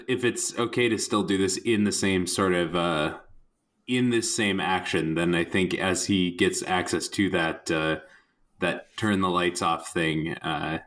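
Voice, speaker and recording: A man's voice: sits low, fairly bright tone. A man improvising casually as a player in a tabletop role-playing game. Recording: music behind the voice. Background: music.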